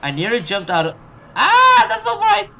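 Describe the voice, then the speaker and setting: A man doing expressive let's play commentary and dramatic read-aloud of a horror visual novel as a gaming host. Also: very high-pitched, normal speed, vocal fry, slight background noise. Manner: expressive, reactive, sweary.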